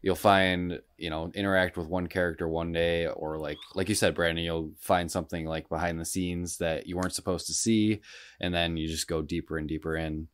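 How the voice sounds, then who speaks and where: A man co-hosting a podcast in relaxed, casual conversation. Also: low voice, moderate pace, clear, resonant tone, dry recording. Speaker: podcast co-host. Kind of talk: casual chat.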